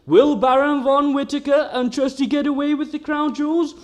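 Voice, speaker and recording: A man's voice: extremely high pitch, fairly even intonation, normal speed. A man playing a mock-dramatic narrator of a comedic radio serial, theatrical in delivery. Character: melodramatic serial narrator teasing next week's episode.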